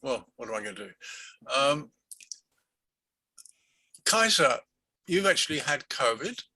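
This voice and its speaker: A man's voice: mid-range voice, very animated, a little slow, bright, forceful tone, breathy edge, no room sound, rough recording. A man hosting an online meeting, walking through statistics and then interviewing a guest, with many fillers and restarts. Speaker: meeting host.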